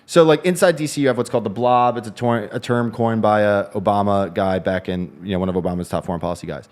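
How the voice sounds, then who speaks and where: A man co-hosting a casual news discussion show, talking in quick rambling bursts with frequent restarts. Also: on the low side, a little mellow. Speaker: co-host.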